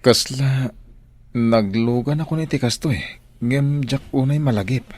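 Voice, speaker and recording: A man's voice: medium pitch, measured pace, darkish tone, close to an everyday voice. An actor playing a polite young man who yearns for his family, in an Ilocano radio drama. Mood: wistful.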